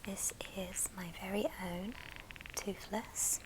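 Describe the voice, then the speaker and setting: A woman whispering gently and breathily in an unhurried ASMR show-and-tell style. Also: mid-range voice, steady tone, background noise. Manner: gentle, unhurried, soft-spoken. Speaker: ASMR video creator.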